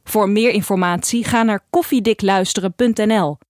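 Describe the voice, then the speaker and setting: A woman's voice: medium pitch, moderate pace, darkish tone, no echo, studio quality. A woman announcer reading a scripted outro with credits for an audio production.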